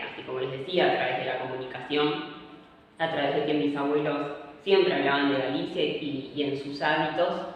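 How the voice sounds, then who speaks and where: Hesitant woman in an echoey room. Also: sits low. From Argentina. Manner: hesitant, many fillers and restarts. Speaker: interviewee. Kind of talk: casual interview talk. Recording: echoey room, far from mic, faint noise.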